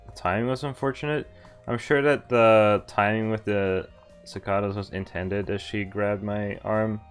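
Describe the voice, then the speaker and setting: A man's voice: on the low side, fairly even intonation, measured pace. A man streaming a game, reading a visual novel aloud and adding playful, chatty commentary that wanders into asides. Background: game music.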